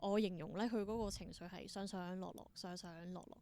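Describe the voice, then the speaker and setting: A woman's voice: fairly low pitch, steady tone, fairly quick, warm tone, slight background noise. A woman with a Hong Kong Cantonese accent chatting as an interview guest, telling stories with enthusiasm and dropping in English words. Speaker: interview guest, independent theatre performer. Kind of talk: casual interview chat.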